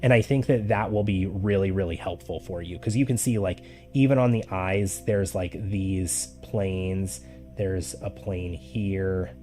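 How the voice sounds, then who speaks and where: A man giving casual art critique on a live stream, talking loosely with lots of fillers, his voice getting raspy. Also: low voice, unhurried, mellow. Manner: loose and chatty, with many "like" fillers. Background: faint background music.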